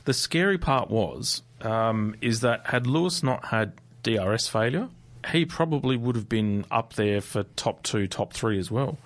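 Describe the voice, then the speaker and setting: A man, co-hosting a casual motorsport chat in a radio studio, animated and quick to laugh. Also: mid-range voice. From Australia. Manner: chatty, laughs easily, animated. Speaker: co-host.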